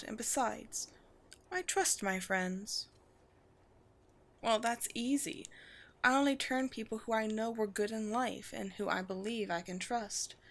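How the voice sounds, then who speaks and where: A woman voicing a proud, wry vampire queen with a formal, measured, teasing manner in a scripted audio roleplay. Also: medium pitch, natural voice. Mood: composed, dignified. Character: poised vampire queen, proud, wry, secretly gentle colony leader.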